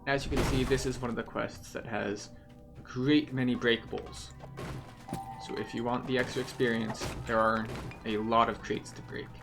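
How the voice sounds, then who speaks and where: A man's voice: medium pitch, little pitch movement, normal speed, creaky, over music. A man giving explanatory, methodical commentary as he guides listeners through a video game playthrough.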